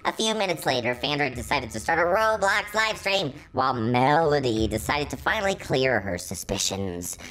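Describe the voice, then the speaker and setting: Low-pitched woman reading fan fiction. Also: very animated, moderate pace, hardly any pauses, a bit bright, faint music behind. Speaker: story reader, channel host.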